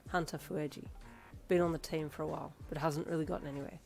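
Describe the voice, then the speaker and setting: A woman voicing a gruff, grumpy young male athlete who answers in low, clipped replies. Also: fairly even intonation, quick pace, darkish tone, faint music behind.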